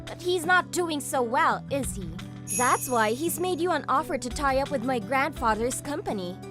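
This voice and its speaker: A woman voicing a haughty young rich heiress, sharp-tongued and scornful, in an anime-style manga dub. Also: high-pitched, lively intonation, moderate pace, music in the background. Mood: suspicious, cold.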